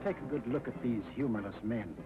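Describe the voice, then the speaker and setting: A man's voice: medium pitch, fairly quick, a loud crowd, heavy background noise. An American man narrating a 1940s wartime propaganda film in a dramatic, emphatic, rhetorical style. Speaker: documentary narrator. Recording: muffled old film soundtrack with music.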